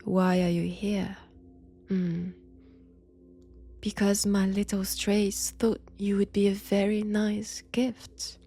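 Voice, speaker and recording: A woman playing a mad vampire in an intimate audio roleplay, with a French accent, seductive, menacing and mockingly tender, speaking in halting short phrases. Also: medium pitch, little pitch movement, dark tone, breathy edge, faint music behind. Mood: playful, obsessed. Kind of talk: slow intimate roleplay monologue.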